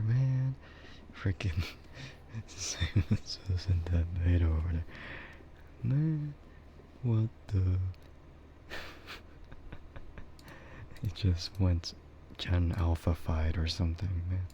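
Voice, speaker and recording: A soft-spoken man streaming casual, rambling ASMR, often slipping into a half-whisper close to the microphone. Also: lowish voice, lo-fi sound, a little hiss or hum. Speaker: VTuber livestreamer.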